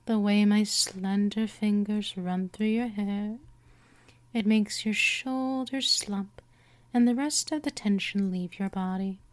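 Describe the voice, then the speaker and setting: A woman playing a gentle but dominant vampire mistress in a scripted hypnosis ASMR roleplay, soothing and teasing, often slipping into whispers. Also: medium pitch, fairly even intonation, slow, slight background noise, close to an everyday voice. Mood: tender, hypnotic.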